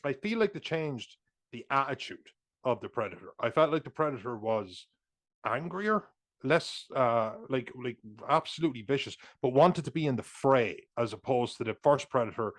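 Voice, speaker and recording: Casting note: man, mid-pitched, casual podcast co-host, rambling and animated. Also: moderate pace. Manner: rambling, restarts, swears, animated asides. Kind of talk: casual film discussion.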